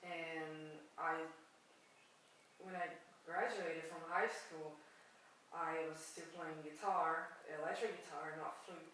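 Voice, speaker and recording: Woman in her 20s, low-pitched for her age, hesitant and full of restarts, heard from a distance. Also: steady tone, slow, fairly bright tone, very echoey, a little hiss or hum. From Brazil. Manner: hesitant, with frequent restarts and fillers. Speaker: music student. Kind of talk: personal account interview.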